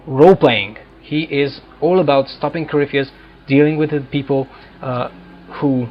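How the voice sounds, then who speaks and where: A man's voice: medium pitch, lo-fi sound, slight background noise. A man giving casual let's-play commentary as a video game player, musing aloud in an offhand manner. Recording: game music behind the voice. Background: video game music.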